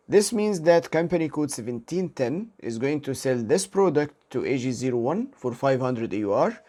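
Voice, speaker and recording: A man instructor narrating a software demonstration step by step, explaining methodically and carefully spelling out codes. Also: medium pitch. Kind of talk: step-by-step screen demo narration.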